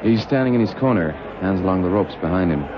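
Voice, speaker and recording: A man's voice: deep voice, animated, fast talker. A man acting as a blind detective's sidekick in a 1960s radio drama, informal, observant and hesitant. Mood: doubtful. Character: young male sidekick describing things for blind detective. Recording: muffled, very noisy old radio recording.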